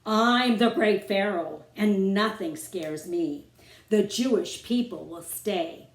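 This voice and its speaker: A pompous male pharaoh from a children's storybook, acted out by a woman. He is boastful and stubborn, exclaiming grandly and quick to panic. The room rings around the voice. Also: medium pitch, natural voice. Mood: defiant, pompous. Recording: roomy, echoing room sound.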